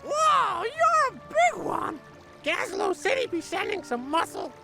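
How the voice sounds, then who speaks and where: Little goblin demolition worker: very shrill, swooping delivery, excitable and eager, fantasy game. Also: female voice, measured pace, gravelly, soft background music, exaggerated voice. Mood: excited, eager. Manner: peppy, excitable.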